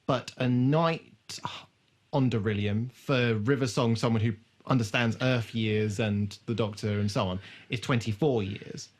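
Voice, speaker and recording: A man co-hosting a casual comedy podcast, chatting in a sweary, animated way and often laughing. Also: mid-range voice, measured pace, husky. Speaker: podcast host. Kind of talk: casual comedic chat about a TV show.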